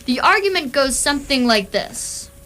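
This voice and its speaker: A woman playing the dry, knowledgeable narrator of a galactic guidebook, with measured explanatory delivery in amateur radio theatre. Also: high-pitched, big pitch swings, pushed and bright, full character voice.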